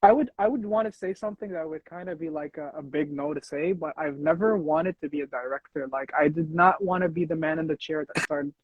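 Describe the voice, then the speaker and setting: A man's voice: a little high, brisk, hardly any pauses, slightly rough, dry recording. A young American man hosting a casual interview, talking in a rambling way with frequent restarts. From United States. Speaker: host. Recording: dull lo-fi sound.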